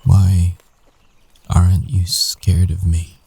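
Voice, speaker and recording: A man playing a guarded, earnest werewolf ex-boyfriend in an intimate ASMR roleplay, speaking haltingly with long pauses. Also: low voice, monotone, creaky, mellow, slightly rough, exaggerated voice. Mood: weary, shaken.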